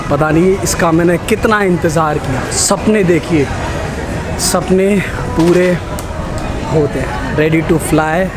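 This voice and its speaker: High-voiced, chatty young travel vlogger. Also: male voice. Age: young adult. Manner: chatty, enthusiastic, mixes English into Hindi. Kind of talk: casual travel vlog. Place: streets, bus and airport terminal. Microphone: handheld camera at arm's length. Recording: loud background noise of street and terminal.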